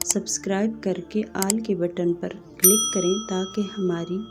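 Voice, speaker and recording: A woman's voice: mid-range voice, steady tone, measured pace, over loud music. A woman reciting poetry and quotes as the narrator of her own channel.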